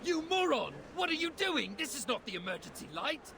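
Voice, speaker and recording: An actor playing a haughty, short-tempered security company boss in a video game, commanding and indignant. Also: male voice, very high-pitched, big pitch swings, normal speed, vocal fry, background noise, heavily acted. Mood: furious, barking.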